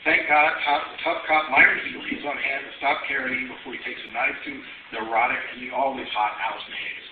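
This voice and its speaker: A man's voice: mid-range voice, level tone, quick pace. Wry male author reading to a bookstore crowd; tinny, echoey capture. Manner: wry and playful, relishing the campy material. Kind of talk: reading aloud to a bookstore audience. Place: bookstore event room with an audience. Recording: tinny, distant, echoey and hissy.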